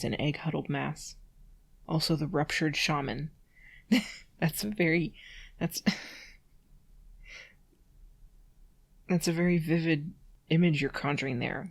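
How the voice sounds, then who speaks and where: A woman reading a text aloud carefully, breaking off for dry, sarcastic commentary. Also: sits low. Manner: dry sarcastic asides between passages; careful, deliberate reading of awkward prose. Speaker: reader and host.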